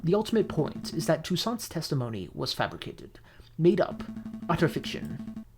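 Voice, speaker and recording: A woman voicing an arrogant male falcon defence lawyer in a video game, lecturing and deliberate in a cutting, logical way. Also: deep voice, sing-song, darkish tone, faint music behind. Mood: triumphant.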